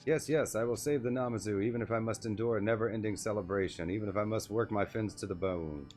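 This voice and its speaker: A man's voice: on the low side, fast talker, a little mellow, soft background music, close to an everyday voice. A man voicing an eager, naive, apologetic little fish creature from a fantasy game, gushing and repeating phrases. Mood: determined, fervent.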